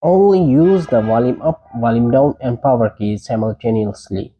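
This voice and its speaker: A man's voice: mid-range voice, normal speed, highs cut off, a little hiss or hum. A man presenting a tech tutorial, giving short, plain step-by-step instructions.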